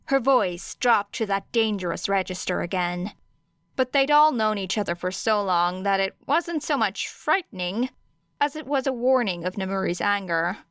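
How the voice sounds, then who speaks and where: A woman's voice: mid-range voice, lively intonation, normal speed, a bit bright, no room sound, clean studio sound. A woman reading scripted fiction as an audiobook narrator.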